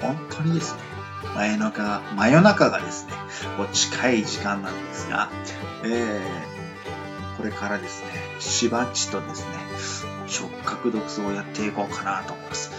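A man's voice: normal speed, loud music behind the voice. A man hosting a casual late-night radio talk show, speaking with many fillers. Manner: lots of filler words, lively rising pitch when excited.

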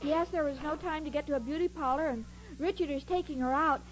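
A woman's voice: sits high, fast talker, natural voice. An actress playing a sweet, naive, dim-witted young woman in a 1940s radio comedy, guileless and earnest. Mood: sweet, simple. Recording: dull, noisy old radio recording.

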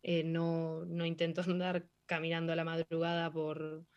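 A woman's voice: low voice, completely flat delivery, moderate pace, a little mellow, lo-fi sound. A young woman with an Argentine accent answering interview questions casually, with many fillers and restarts. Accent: Argentine Spanish. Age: young adult. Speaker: interviewee, hockey player.

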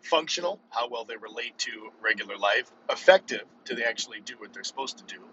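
Man in his fifties, forceful bright voice, informal rambling podcast host, emphatic, dull recording with vehicle noise. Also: mid-range voice, lively intonation, fairly quick. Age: 50s. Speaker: podcast host and physical therapist coach. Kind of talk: casual solo podcast chat.

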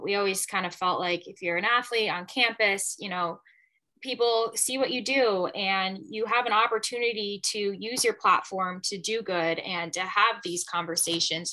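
A woman's voice: mid-range voice, fairly even intonation, normal speed, pushed and bright, rough recording. A young woman hosting a casual interview, chatty and enthusiastic, with plenty of likes and you knows. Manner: enthusiastic, chatty, many fillers. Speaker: podcast host, former athlete.

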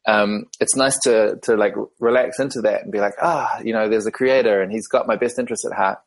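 A man with a New Zealand accent and a lowish voice, talking as a podcast guest. He speaks in a thoughtful, measured way and often pauses on "um" while he finds the next thought. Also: fairly even intonation. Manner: thoughtful, measured, with frequent ums. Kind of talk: casual interview conversation.

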